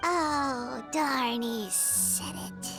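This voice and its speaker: A woman voicing a small, cheerful, excitable flying fairy girl in an anime game, with a squeaky childlike voice. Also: drawn-out words, heavily acted. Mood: exasperated. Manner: excitable, refers to self by name, exclamatory. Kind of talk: anime game character dialogue. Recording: studio voice over game music.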